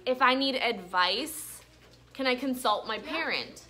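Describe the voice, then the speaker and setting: Casting: female elementary teacher, higher-pitched bright voice, interactive classroom delivery that keeps checking understanding. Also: unhurried. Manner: prompting with questions and check-ins. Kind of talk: interactive classroom vocabulary lesson and read-aloud. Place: classroom with pupils.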